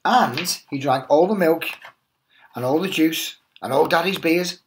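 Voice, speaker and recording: A middle-aged man, a headteacher, reading a picture book aloud to young children in an expressive, reassuring way. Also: a little high, unhurried, a little hiss or hum.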